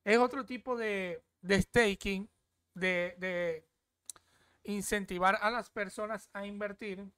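A young man chats his way through a screen-share tour of an app from a desk at home. His voice is smooth and clean, and it sounds dry and close. He keeps checking in with his viewers as he goes. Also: high voice, steady tone, slow, fairly bright tone, no echo. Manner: chatty, repeats "¿ok?" often. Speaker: crypto app reviewer. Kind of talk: casual screen-share walkthrough.

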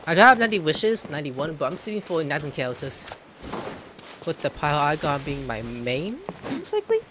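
A man's voice: on the high side, sing-song, a little fast, background noise. A man streaming a game with casual commentary, playful and slangy, often exclaiming. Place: indoor room, webcam gaming setup. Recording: game music behind the voice.

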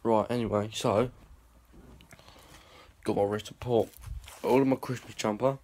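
A man's voice: on the low side, fairly even intonation, moderate pace, darkish tone. A young man, rambling and swearing in a casual vlog filmed on a phone held at arm's length. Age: young adult. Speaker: vlogger. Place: small indoor rooms and a hallway.

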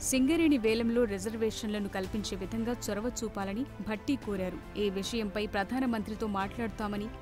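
A woman narrating a scripted news report in a brisk, formal read. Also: medium pitch. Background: news music.

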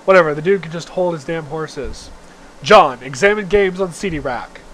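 A man's voice: on the high side, normal speed, a bit bright, poor audio. A young man giving an amateur, theatrical read-aloud of a story, with the odd stumble. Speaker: reader of a webcomic read-aloud.

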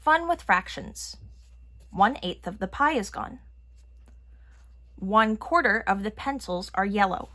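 A woman reads a children's picture book aloud at a slow, deliberate pace. Her delivery is expressive, with a lively, widely moving pitch. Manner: expressive, acting out characters' lines. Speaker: storybook reader.

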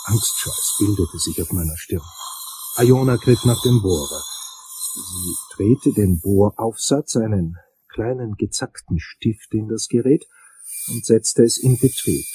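A man playing an investigator, narrating a crime radio play in measured, wry first-person storytelling. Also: lowish voice, mellow, breathy edge. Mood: measured, tense.

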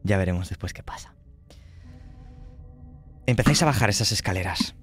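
A man's voice: fairly low pitch, lively intonation, normal speed, fairly bright tone, slightly rough, soft background music. A man narrating a tabletop horror game as game master, speaking conversationally and checking in with his players.

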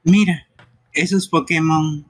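A man with a husky voice takes his time as he reads game dialogue on a stream. Music plays faintly behind him. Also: fairly high pitch, steady tone, slow pace. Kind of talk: reading game dialogue aloud.

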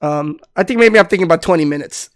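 A man's voice: fairly high pitch, fast, no echo, studio quality. A middle-aged man, born in the Philippines and raised in Southern California, hosting a casual podcast interview over a video call, talking in a rambling way with many restarts and fillers. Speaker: podcast host. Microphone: headphones with studio mic on webcam.

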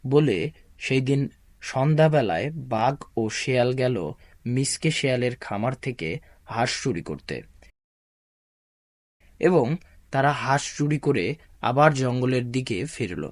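A man's voice: mid-range voice, animated, measured pace, pauses often, no room sound. A man narrating a children's folk tale as scripted storybook narration.

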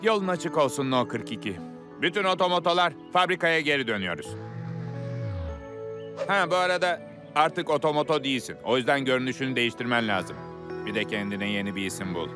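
Cartoon robot-car boss male actor: businesslike, instructing, lively intonation, music bed. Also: medium pitch, brisk, close to an everyday voice. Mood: firm, matter-of-fact. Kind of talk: children's cartoon dialogue. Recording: music behind. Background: children's music.

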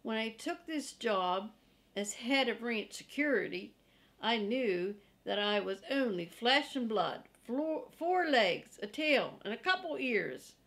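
A woman's voice: on the high side, a bit bright. Woman reading as self-important ranch guard dog. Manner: boastful, folksy, emphatic.

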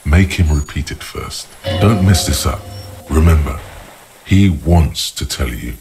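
An actor playing the brooding inner voice of a detective in a video game, narrating in a measured, ominous, gravelly way. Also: male voice, rumbling low, expressive, exaggerated voice. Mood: urging. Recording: loud music behind the voice. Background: music.

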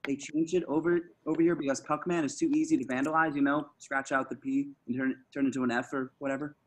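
A man's voice: medium pitch, steady tone, fast talker, a little hiss or hum, close to an everyday voice. A man playing an awkward, defensive slacker in his twenties at a table read, hesitant and rambling. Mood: awkward, casual. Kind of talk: table-read acting over video call. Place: remote group video call.

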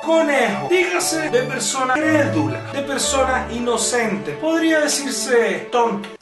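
Young male vlogger, Venezuelan accent, high-pitched, exaggerated comic delivery, picked up from a distance in an echoey room. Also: measured pace. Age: young adult. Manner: animated, theatrical, exaggerated emphasis. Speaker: video blogger. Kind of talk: comedic explainer vlog to camera. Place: small living room, indoors. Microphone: camera a short distance away. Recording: roomy, distant microphone, music behind. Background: music.

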